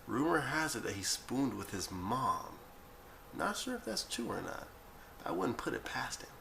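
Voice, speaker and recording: A man's voice: mid-range voice, huge pitch swings, unhurried, gravelly, bright, forceful tone, a little hiss or hum. A man giving informal, opinionated commentary as an internet video commentator.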